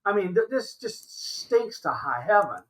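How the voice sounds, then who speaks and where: A man's voice: high voice, big pitch swings, a little slow, rough recording. An American man in his sixties giving impassioned interview commentary as a podcast guest, emphatic and exasperated, repeating himself to drive points home. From Alabama, United States. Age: 60s.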